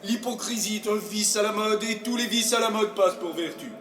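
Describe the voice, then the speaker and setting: In a reverberant hall, a man acts out a swaggering aristocratic libertine from classical stage drama. His voice sits high for a male and rings out bright and forceful. He declaims with grand theatrical flourish, cynical and sure of himself, moving between loud boasts and hushed asides. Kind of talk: classical French theatre monologue.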